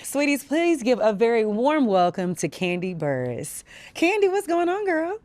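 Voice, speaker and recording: A woman's voice: a little high, sing-song, moderate pace, a little mellow, no room sound. A woman hosting a podcast in a casual chat style, upbeat and affirming as she talks. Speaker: podcast host. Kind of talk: casual celebrity interview chat.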